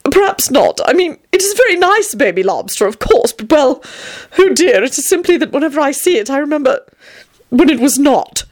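Storybook werewolf, male female reader: haughty, fussy coward, high-pitched, highly animated, prone to offended wailing. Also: moderate pace. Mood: weakly horrified. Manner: haughty, wailing, easily offended, affected endearments.

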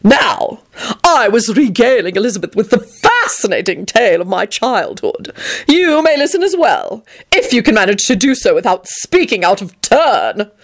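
Lady voicing a vain dramatic vampire guy, slow grand preening talk, voice going all over the place. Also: on the high side, sing-song, pitched above the performer's own voice. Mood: grandiose. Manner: grandiose, drawn-out, preening delivery. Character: pompous theatrical male vampire, self-adoring show-off.

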